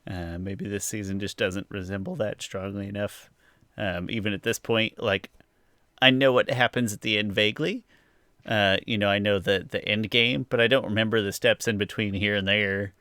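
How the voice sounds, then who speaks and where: A man's voice: fairly low pitch, steady tone, normal speed, pushed and bright, no room sound. Casual male podcast host, close dry sound. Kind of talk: casual podcast review chat.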